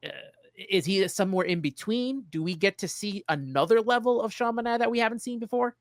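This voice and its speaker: Male sports podcast host, slightly high-pitched, conversational interviewer, very dry recording. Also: lively intonation, a little fast, no echo.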